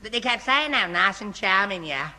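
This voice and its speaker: A man's voice: high-pitched, lively intonation, fairly quick, pushed and bright, full character voice. A man playing a timid, devoted young boyfriend who hesitates and repeats himself in a 1960s radio sitcom. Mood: bashful. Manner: hesitant, stammering repetitions. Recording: old 1960s radio recording, echoing hall.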